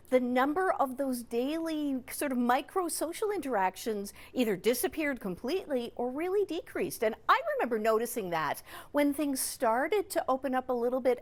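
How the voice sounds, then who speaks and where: High-pitched Canadian woman explaining things conversationally. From Newfoundland and Labrador, Canada. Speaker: psychologist, regular TV guest expert.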